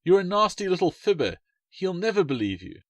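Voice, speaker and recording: An earnest, dreamy young girl in a storybook, chiding and coaxing in a raised, brighter voice, as a male narrator puts her on. She is prim and a little bossy. The sound is close and dry. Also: high-pitched, sing-song, brisk, no room sound, lo-fi sound, pitched above the performer's own voice. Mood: indignant.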